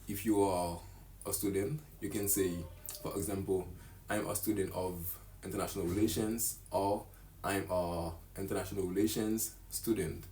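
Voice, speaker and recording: A man's voice: sits low, fairly even intonation, dark tone, a little hiss or hum, poor audio. A young man, carefully teaching a beginner English lesson to camera in a small room. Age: young adult. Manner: slow, careful, deliberate delivery. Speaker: English teacher, channel host.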